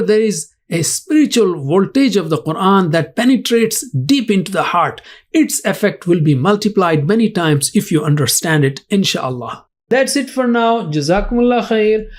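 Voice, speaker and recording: Lesson narrator, mid pitch, slow, emphatic, explains word by word. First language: Urdu. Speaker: religious language teacher.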